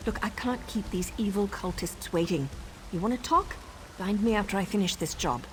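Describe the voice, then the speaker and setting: An actress playing a sardonic, self-assured assassin in a video game, with a teasing, wry way of talking. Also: female voice, medium pitch, moderate pace, a little hiss or hum, natural voice. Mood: brisk, wry. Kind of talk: video game character acting.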